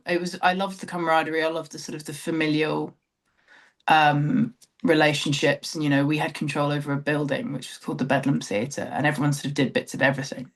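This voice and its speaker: A woman in her thirties from London with a southern English accent, talking reflectively and self-deprecatingly as an interview guest, thinking aloud as she recalls her experiences. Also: sits low. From London, England. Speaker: interview guest, theatre director. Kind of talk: conversational interview, personal recollection.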